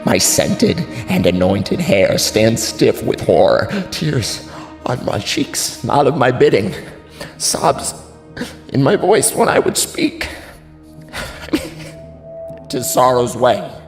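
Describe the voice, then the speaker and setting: A man's voice: medium pitch, sing-song, takes it slowly, vocal fry, long pauses, exaggerated voice. An actor playing a grief-stricken, wavering drunken nobleman, lamenting theatrically in a classical tragedy. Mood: anguished weeping. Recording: long hall reverb, music underneath.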